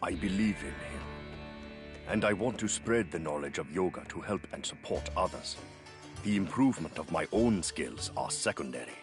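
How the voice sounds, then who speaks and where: Deep-voiced, serene yoga master. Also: male voice, music in the background. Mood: serene, solemn. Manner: calm, measured, solemn, philosophical. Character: serene wise middle-aged male yoga master, patient and devout. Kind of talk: video game story cutscene acting.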